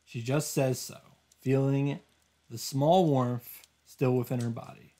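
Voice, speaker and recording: A man hosting visual novel highlights, reading the story narration aloud in a dramatic way with some commentary. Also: steady tone, slow pace, dark tone.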